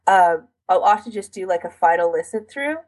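A woman's voice: lowish voice, animated, moderate pace, a little mellow. A woman co-hosting a podcast in casual chat, rambling along with lots of "like" and self-interruption. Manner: rambling, filler-heavy delivery with frequent "like". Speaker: podcast co-host. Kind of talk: casual chat about audio editing.

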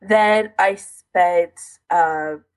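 Low-voiced woman chatting casually. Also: drawn-out words. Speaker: podcast co-host. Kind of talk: casual chat.

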